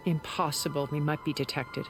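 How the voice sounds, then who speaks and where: A calculating, condescending member of an elite in a game cutscene. Her voice is low, measured and formal, over clearly audible music. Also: steady tone. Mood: alarmed, firm. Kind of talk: video game cutscene acting. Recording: dramatic music behind the voice.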